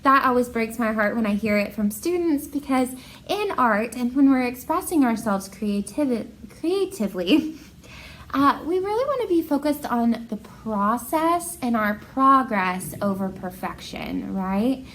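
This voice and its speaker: A woman with a fairly high, very animated voice reads and chats as an art teacher would to children. Her delivery is expressive and encouraging, addressing young listeners directly as she goes. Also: a little slow, slight background noise. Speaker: art teacher reading to pupils. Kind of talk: children's picture book read-aloud and encouraging talk.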